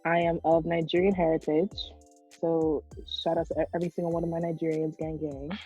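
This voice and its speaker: Husky-voiced young woman, low and relaxed. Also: brisk, muffled recording, soft background music. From Long Island, New York. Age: 20s. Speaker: podcast co-host. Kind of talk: casual group podcast chat.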